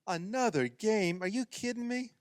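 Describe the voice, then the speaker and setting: A man's voice: high voice, expressive, normal speed, dry recording, studio quality. A man playing a sardonic husband with wounded pride in a comedic radio play, sarcastic and dramatic. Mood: competitive, flustered. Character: sardonic husband, sore loser at backyard basketball.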